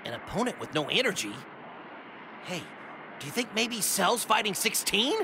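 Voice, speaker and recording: An actor voicing a small, hot-headed, devoted male martial artist with an excitable, earnest delivery in an anime video game. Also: mid-range voice, moderate pace, gravelly, background noise. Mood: alarmed. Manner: excitable, indignant, fiercely earnest. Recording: loud game music behind.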